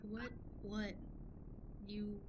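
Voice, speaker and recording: A woman playing an anxious, excitable young woman in an improvised radio play, dramatic, emphatic and pleading. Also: medium pitch, extremely slow, a little hiss or hum. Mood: worried.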